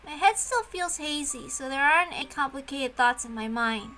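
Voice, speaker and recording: A woman's voice: sits high, lively intonation, moderate pace, bright, forceful tone, faint music behind. A woman voicing a lonely, dazed young girl narrating a visual novel in the first person, wistfully and simply. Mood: dreamy resolve.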